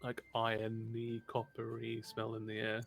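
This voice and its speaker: A man acting as game master, narrating a tabletop game in a halting, conversational way. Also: lowish voice, monotone, vocal fry.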